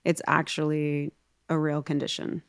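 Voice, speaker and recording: A woman in her thirties, a podcast guest, telling her own story in a reflective, halting way with small laughs. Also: low-pitched, completely flat delivery, creaky, dry recording, clean studio sound. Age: 30s. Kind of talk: candid personal storytelling.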